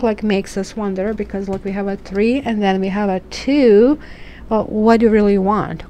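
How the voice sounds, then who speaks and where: A woman's voice: medium pitch, dark tone, slight background noise. Chatty Croatian-accented woman reading tarot. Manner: chatty, musing, asks questions aloud. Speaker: tarot reader.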